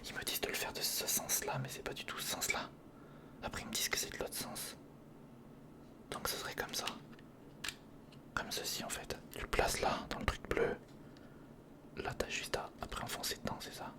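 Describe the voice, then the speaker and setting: A voice that stays in a whisper throughout, breathy and without tone. It is an ASMR creator commenting casually on a build, muttering and thinking aloud in short phrases. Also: moderate pace, long pauses.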